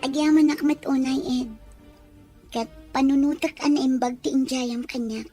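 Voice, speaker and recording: A woman's voice: high voice, fairly even intonation, normal speed, dark tone, soft background music. A woman playing an anxious young student under family pressure in an Ilocano audio drama. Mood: polite, hesitant.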